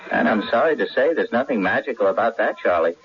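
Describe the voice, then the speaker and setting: Guy doing a cocky bookkeeper lecturing his pal, lowish voice, swoops around, old radio play, muffled recording. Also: expressive, fast, natural voice. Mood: dismissive. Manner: lecturing, emphatic, self-assured. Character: cocky, argumentative turn-of-the-century bank bookkeeper, a man. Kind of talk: old-time radio drama acting.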